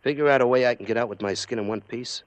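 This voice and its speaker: An actor playing a hard, domineering American gang boss with dry, clipped sarcasm in an old radio crime drama. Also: male voice, mid-range voice, fast, no room sound, dull audio, close to an everyday voice. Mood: guarded.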